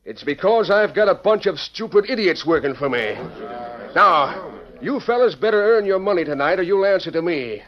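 An actor playing a blustering, bullying crooked land promoter in an old western radio drama. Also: male voice, high-pitched, animated, normal speed. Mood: angry bullying. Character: blustering crooked land promoter, a man, quick-tempered boss. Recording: muffled old lo-fi broadcast recording.

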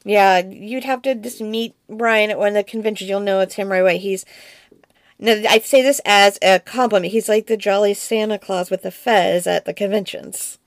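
A woman hosting an interview, speaking softly in casual chat and laughing easily. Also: mid-range voice, steady tone, moderate pace, no room sound. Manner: soft-spoken, laughs easily. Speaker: podcast host.

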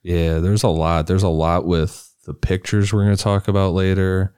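A man co-hosting a podcast, reading a true crime story in a relaxed, loose way with casual asides. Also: sits low, normal speed, clear, resonant tone, no echo, clean studio sound. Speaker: podcast co-host.

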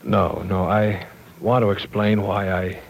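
An actor playing an earnest, apologetic professor in an old-time radio drama, with a formal, hesitant and courteous manner. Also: male voice, deep voice, fairly even intonation, slow, creaky, exaggerated voice. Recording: noisy vintage radio drama recording.

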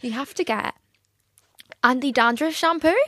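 A woman's voice: sits high, moderate pace, fairly bright tone, no room sound, clean studio sound. A young woman hosting a podcast in a casual chat, swearing freely and laughing often. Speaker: podcast host.

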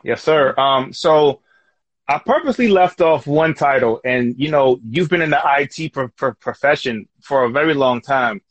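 Husky male interviewer, close dry sound. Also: mid-range voice, moderate pace, dry recording, muffled recording. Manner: affirming, interjects agreement often. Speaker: interviewer, host. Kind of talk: casual interview chat.

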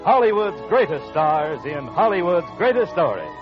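Music plays behind a muffled vintage broadcast as a male announcer reads in polished 1940s style. His somewhat high voice swings emphatically and formally through every phrase. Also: very animated, normal speed. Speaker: radio announcer. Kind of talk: 1940s radio announcing and sponsor reading.

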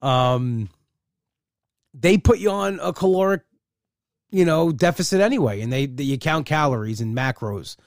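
A man's voice: on the high side, animated, normal speed, pauses often, no room sound, studio quality. A middle-aged man talking casually and candidly in a rambling personal monologue, often restarting his sentences.

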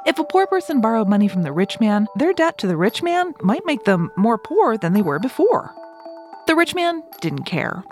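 Woman, children's show host, animated storytelling, mid-range voice, simple and expressive delivery, music underneath. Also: mid-range voice. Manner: expressive, clearly addressed to young children. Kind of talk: scripted storytelling and instructions for children.

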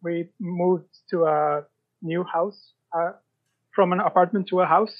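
Male guest, high voice, halting casual talk with mid-sentence pauses, muffled lo-fi recording. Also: fairly even intonation. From Sweden. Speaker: podcast guest, writer. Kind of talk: casual interview chat.

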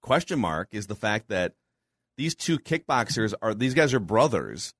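A man hosting a podcast in a casual chat, relaxed and steering the talk with stumbling restarts. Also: on the low side, measured pace, no room sound. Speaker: podcast host.

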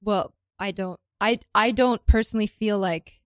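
Female co-host, warmly supportive reactions, close-miked in a dry studio. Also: medium pitch, steady tone, moderate pace, dry recording. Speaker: podcast co-host. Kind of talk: casual podcast chat. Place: radio studio.

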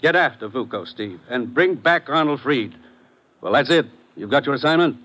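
An actor playing a brisk American government commissioner, giving measured, businesslike briefings in a 1950s radio drama. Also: male voice, medium pitch, expressive, close to an everyday voice. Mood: grave, businesslike.

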